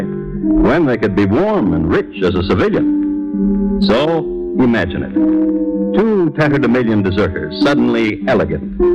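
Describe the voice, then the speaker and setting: An actor as a wry, urbane narrator hosting an old-time radio crime series, speaking in a deliberate, theatrical way. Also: male voice, medium pitch. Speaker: narrator and host. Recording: muffled vintage radio, loud music.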